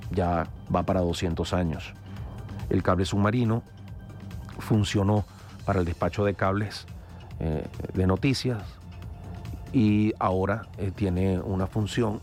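A man with a Venezuelan Spanish accent hosting a radio news analysis hour, measured and hesitant with many fillers. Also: on the low side, darkish tone. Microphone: studio microphone.

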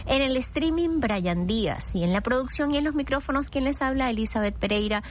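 A woman's voice: sits high, animated, slight background noise. Venezuelan woman radio host, formal. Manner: formal, measured broadcast delivery. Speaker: radio program host and producer. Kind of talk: closing a radio talk program.

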